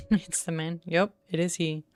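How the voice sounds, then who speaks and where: A woman's voice: fairly low pitch, fairly even intonation, a little slow, darkish tone, faint music behind. A woman streamer giving casual, chatty commentary, reacting aloud to a game's story. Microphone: close streaming microphone.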